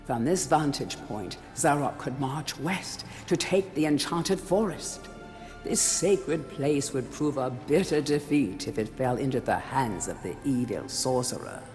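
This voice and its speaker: Man acting a grave fantasy chronicler, solemn theatrical storybook narration, mid-pitched, long reverb, music underneath. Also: unhurried, darkish tone, close to an everyday voice. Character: grave male chronicler of an old fantasy kingdom.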